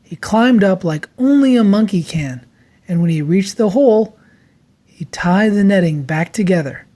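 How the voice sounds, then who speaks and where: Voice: medium pitch, normal speed. Dramatic storyteller reading a children's picture book. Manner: expressive, acts out character lines.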